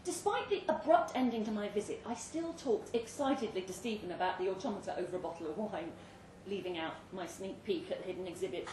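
A woman giving an expressive, theatrical reading of a suspenseful short story at a live indoor event. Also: mid-range voice, fast. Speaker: story reader. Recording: roomy live venue, distant mic, faint noise.

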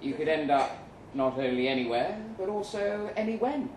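A man performing a live short-story reading as a weary, jaded agent, speaking deliberately with dramatic pauses. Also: on the high side, expressive, darkish tone, lightly acted. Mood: grave, reflective. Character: weary, jaded male agent narrating his own story. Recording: roomy live venue, some noise.

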